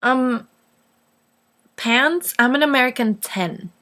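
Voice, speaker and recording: A woman's voice: sits high, takes it slowly, a bit bright. A woman in her twenties from northeast Mexico, a native Spanish speaker, chatting casually and ramblingly to camera in a self-deprecating way. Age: 20s. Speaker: vlogger answering viewer questions.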